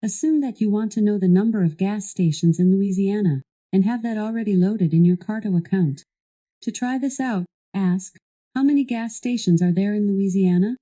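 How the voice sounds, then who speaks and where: Very mellow, dark-voiced female assistant. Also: medium pitch, normal speed. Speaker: voice assistant guiding a product demo. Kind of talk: scripted instructional narration.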